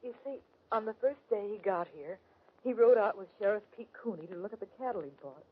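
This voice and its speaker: Anxious frontier lady narrating a letter, husky, earnest, thin phone-like old radio sound. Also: mid-range voice, expressive, brisk. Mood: worried. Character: anxious young frontier woman reading her letter.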